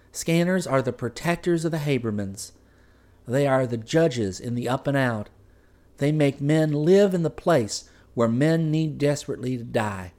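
A man's voice: mid-range voice, darkish tone, pitched above the performer's own voice, lightly acted. A man putting on a proud, devoted young wife. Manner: recites praise like a well-worn creed. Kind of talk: audiobook character voice.

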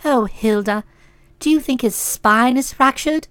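A woman's voice: a little high, a little slow, fairly bright tone, smooth, dry recording, voice pushed down. A woman voicing a gushing, sentimental young woman with a soupy, trickly, tremulous voice. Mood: worried.

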